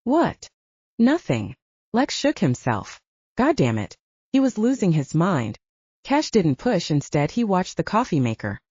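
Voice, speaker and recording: A woman's voice: fairly low pitch, big pitch swings, moderate pace, a little mellow, slightly rough, no echo, dull audio. A woman reading fiction aloud as a scripted audiobook narrator.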